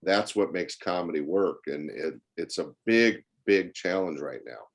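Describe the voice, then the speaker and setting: A man's voice: low voice, lively intonation, a little slow. An older man, a talkative podcast guest and former club owner, speaking conversationally in an interview and telling anecdotes.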